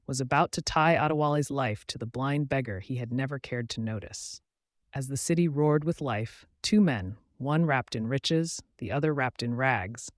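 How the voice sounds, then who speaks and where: A man's voice: mid-range voice, no echo, clean studio sound. A man reading a scripted folktale as a storyteller.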